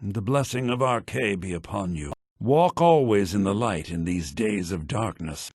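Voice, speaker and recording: An actor playing a humble, solemn priest of the dead in a fantasy video game, speaking in an earnest, formal, measured way. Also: male voice, lively intonation, a little mellow, husky, no room sound, studio quality, exaggerated voice. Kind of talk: video game character acting. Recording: lo-fi low-bitrate game audio.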